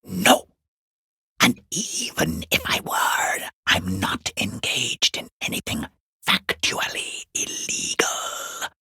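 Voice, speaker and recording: A man playing a sneering, furtive peeping lurker in a video game, with a hoarse, breathy, half-whispered rasp and a sarcastic, taunting drawl. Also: deep voice, huge pitch swings, slow, sharp tone, dry recording, clean studio sound, exaggerated voice. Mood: scornful, defensive. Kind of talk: video game character acting.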